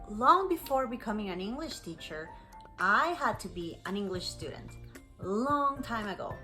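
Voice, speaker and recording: A native Spanish-speaking woman from Costa Rica with a Costa Rican accent, telling a personal story to learners as an English teacher, clearly phrased and encouraging. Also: mid-range voice, pushed and bright.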